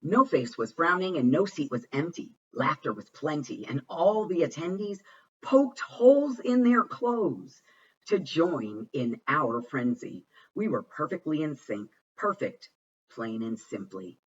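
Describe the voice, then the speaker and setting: A man giving an expressive read-aloud of a rhyming children's picture book, with deliberate, dramatic phrasing. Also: a little high, husky. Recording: muffled, distant microphone.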